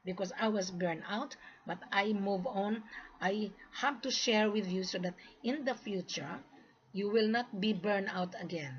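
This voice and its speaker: A woman born in the Philippines who is Australian, giving an emphatic, insistent unscripted monologue in a husky voice, repeating her points as she explains. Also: medium pitch, measured pace. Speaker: gold trade seller's mandate presenting to camera. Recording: dull, lo-fi sound.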